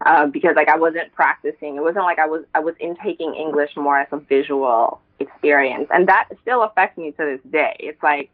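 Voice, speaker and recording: A woman's voice: deep voice, brisk, few pauses. A woman from Indonesia speaks as a guest in an interview. She talks reflectively, with many fillers and frequent laughs. Her voice comes through a tinny, phone-like line. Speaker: poet, guest. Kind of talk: casual interview talk.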